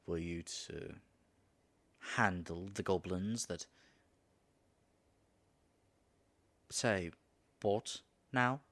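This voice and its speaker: A man's voice: low-pitched, takes it slowly, a bit bright. A man voicing a flustered, flirtatious dragon in human form in an audio roleplay, stammering nervously and muttering asides to himself. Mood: charmed, curious. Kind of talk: audio roleplay addressed to the listener.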